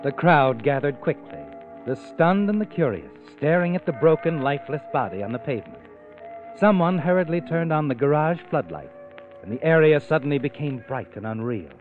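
A man tells a mystery tale with theatrical suspense in the manner of 1940s radio. His fairly high voice swings widely in pitch. The old recording is thin and tinny. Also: big pitch swings, normal speed. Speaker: mystery story narrator. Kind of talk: dramatic 1940s radio scripted narration. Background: music.

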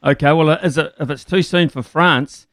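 A man with a New Zealand accent hosting an interview, asking measured questions with a few fillers. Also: fairly high pitch, fairly bright tone, dry recording, clean studio sound. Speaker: interviewer host. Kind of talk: casual interview.

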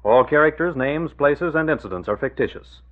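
A man's voice: fairly high pitch, lively intonation, moderate pace. A man announcing in scripted 1940s radio style. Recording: tinny old radio with faint music.

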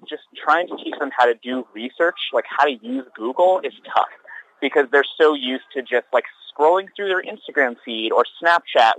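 A man's voice: mid-range voice, fairly bright tone. Rambling wry man in his thirties, on a phone line. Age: 30s. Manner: rambling, wry, many fillers and restarts. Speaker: teacher. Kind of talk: casual phone chat. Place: sheltered spot outdoors.

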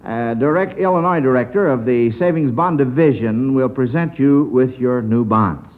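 A man's voice: medium pitch, normal speed. A man hosting a live mid-century radio quiz show, genial and hesitant in his phrasing, with encouraging asides. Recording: old radio broadcast, narrow tinny sound.

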